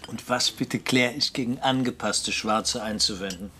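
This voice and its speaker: An actor playing a smug, blustering middle-aged politician in a radio play. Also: male voice, mid-range voice, moderate pace, sharp tone, slight background noise, lightly acted. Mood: indignant. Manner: self-important, jovial bluster. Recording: clattering cutlery and restaurant ambience. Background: busy restaurant dining sounds.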